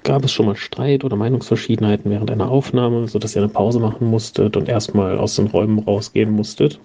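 A man's voice: on the low side, steady tone, fast talker, warm tone, slightly rough. A man asking listener questions in a casual, polite spoken message, on a lo-fi recording. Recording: dull lo-fi voice message.

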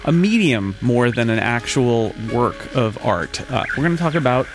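Male podcast host, medium pitch, casual introduction, light music bed. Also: big pitch swings, normal speed.

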